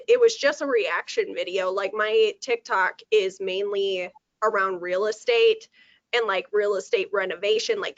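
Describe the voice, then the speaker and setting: Slightly hoarse woman on a lo-fi video call. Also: medium pitch, dry recording. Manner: chatty, laughs easily, explains at length. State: slightly hoarse, sore throat. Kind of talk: casual video call update. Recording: dull lo-fi video call audio.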